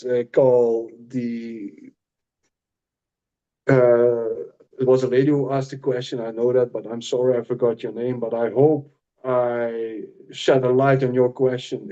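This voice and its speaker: A man with a Dutch accent, a non-native English speaker co-hosting a casual podcast, talking in halting phrases with frequent restarts. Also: medium pitch, mellow, lo-fi sound. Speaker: podcast co-host and author.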